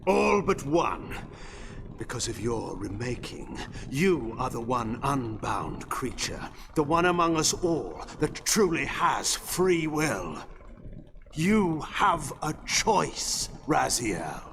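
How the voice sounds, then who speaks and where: An actor playing a proud, ancient vampire lord in a video game, grave and measured. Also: male voice, very animated, noisy background, heavily acted. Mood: urgent, commanding. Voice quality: husky, gravelly low voice. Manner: measured, imperious, theatrical delivery. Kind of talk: dramatic video game cutscene acting.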